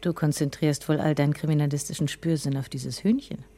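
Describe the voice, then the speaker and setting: An actress in a radio play playing a detective's self-assured wife, dry and matter-of-fact, with a low, mellow voice. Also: female voice, steady tone, brisk. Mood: teasing.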